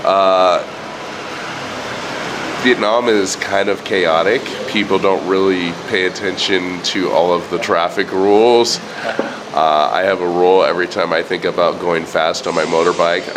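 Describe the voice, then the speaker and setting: A man's voice: low voice, steady tone, moderate pace, noisy background. A man who teaches English, giving matter-of-fact answers in a street interview. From United States.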